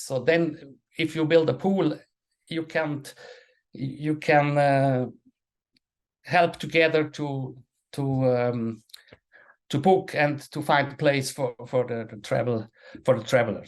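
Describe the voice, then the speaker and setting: A man, a panel guest speaking English as an in a halting way, restarting and repeating words. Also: mid-range voice. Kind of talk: casual webinar talk.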